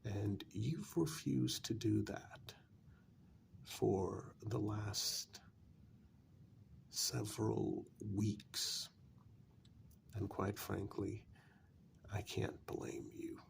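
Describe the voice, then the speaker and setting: Casting: male performer as a patient, apologetic confidant in a gothic vampire role-play, low voice full of heavy fry, hushed delivery. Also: a little hiss or hum, exaggerated voice. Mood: grave, explanatory. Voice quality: soft, breathy, gravelly. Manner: slow, measured, patient, with long pauses. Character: calm, apologetic male confidant explaining a vampire curse in an old castle. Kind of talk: soft-spoken ASMR role-play.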